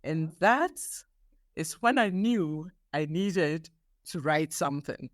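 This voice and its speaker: Woman, 60s, from Ghana, guest author; measured and earnest, restarts words, dry close sound. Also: low-pitched, animated, no echo. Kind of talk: podcast interview.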